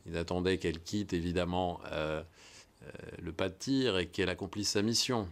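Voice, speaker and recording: A man's voice: deep voice, fairly even intonation, moderate pace. Enthusiastic man commentating a rocket launch. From United States. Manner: enthusiastic, conversational, many hesitations. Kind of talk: live event commentary.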